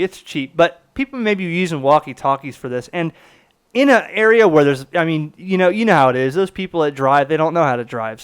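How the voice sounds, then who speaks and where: A man's voice: fairly high pitch, clean tone. A man casually explaining and demonstrating a product to viewers, conversational, stressing key words as he goes. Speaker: product reviewer, channel host.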